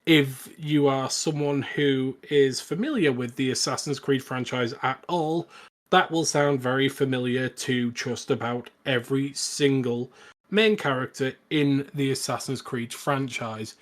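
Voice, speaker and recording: A man's voice: medium pitch, a little slow. A man hosting a podcast, chatting casually as he reviews films. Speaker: podcast host.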